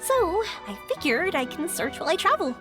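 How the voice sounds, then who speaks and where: A young, adventurous firework maker from a video game. The voice is high and swings animatedly, friendly, chatty and full of enthusiasm, with faint music underneath. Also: female voice, big pitch swings, moderate pace. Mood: bubbly, carefree.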